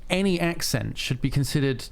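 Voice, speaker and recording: A man from the UK with a gravelly edge to his mid-pitched voice holds forth on a casual podcast. He rambles, piles up fillers and pushes his opinions. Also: expressive, brisk. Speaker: podcast co-host. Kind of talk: casual chat.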